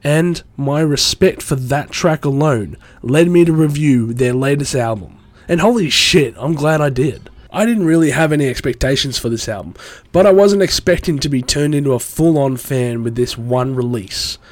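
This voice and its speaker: Guy with a cold rambling about albums on his podcast, mid pitch, dead dry sound. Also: moderate pace, dry recording. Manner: enthusiastic, rambling. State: has a cold. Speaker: music review podcaster. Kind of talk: solo podcast commentary.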